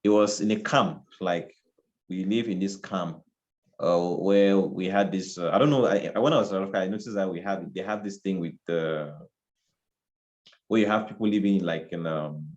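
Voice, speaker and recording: A low-voiced man from Cameroon, talking as an interview guest. He speaks reflectively and casually, often circling back and repeating phrases as he looks for the right words. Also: normal speed, darkish tone, dull audio.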